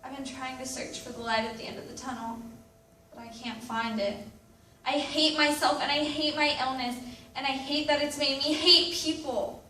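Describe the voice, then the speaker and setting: A woman's voice: high voice, steady tone, normal speed, pushed and bright. A woman acting an anxious, self-loathing teenager in a dramatic readers theatre monologue. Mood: sad, confiding. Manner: dramatic, emphatic delivery. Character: anguished, anxious, self-loathing teenager writing confessional letters. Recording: roomy, distant mic with background noise.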